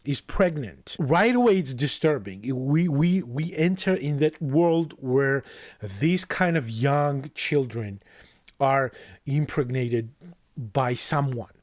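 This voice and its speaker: A young man from Georgia, a native Georgian speaker, talks through a book review in a mid-range voice, pausing and restarting as he searches for words. The sound is close and dry, with no room around it. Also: medium pitch, expressive, dry recording. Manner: thoughtful, with frequent restarts and hesitations.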